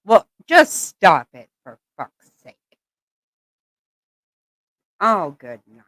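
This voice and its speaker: A woman's voice: mid-range voice, huge pitch swings, very slow, a bit bright, no echo. A woman giving blunt, exasperated reaction commentary, swearing often. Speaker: channel host reacting to clips.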